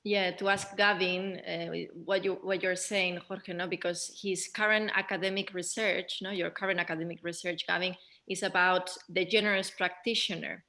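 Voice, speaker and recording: A woman's voice: on the low side, level tone, normal speed, bright, forceful tone. A woman moderating a post-show question and answer session, circling back to rephrase as she puts her questions. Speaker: panel moderator.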